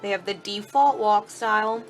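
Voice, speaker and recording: A woman's voice: mid-range voice, moderate pace, soft background music. A woman giving casual commentary on a game demo, thinking aloud informally as she goes. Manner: informal, thinking aloud while clicking through. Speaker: gaming video host.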